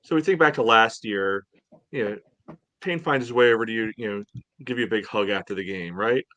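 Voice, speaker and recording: A man co-hosting a soccer podcast in casual chat, sardonic and a bit halting, peppering it with filler phrases. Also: lowish voice, pushed and bright.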